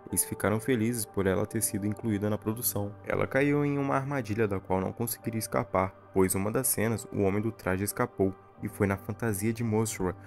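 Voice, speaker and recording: A man with a Brazilian accent narrates a scripted horror recap. His voice sits on the low side. Music plays behind him as he reads. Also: steady tone, a little fast, creaky, hardly any pauses, darkish tone. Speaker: video narrator.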